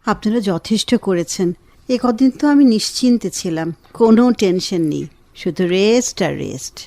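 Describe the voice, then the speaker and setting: A woman acting a gentle, grateful, affectionate wife who is convalescing, in a Bengali radio drama. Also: medium pitch, expressive, moderate pace, vocal fry, dry recording. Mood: grateful, reflective.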